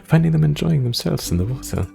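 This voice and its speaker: An excitable young forest dweller in a fantasy game, voiced by a man, with very lively swoops in pitch. The talk is effusive, rambling and self-deprecating, with an anxious edge, over faint background music. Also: fast, creaky. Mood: wistful, yearning.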